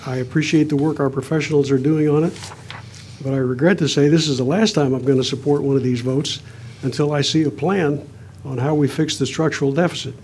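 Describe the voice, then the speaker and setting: A councilman speaks from the dais in a plain, measured way, his voice sitting a touch high for a man. A faint hiss of room noise runs under him. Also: fairly even intonation, a little mellow. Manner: measured, frequent "uh" fillers, plain-spoken. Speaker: city councilman. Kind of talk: council floor remarks. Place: council chamber.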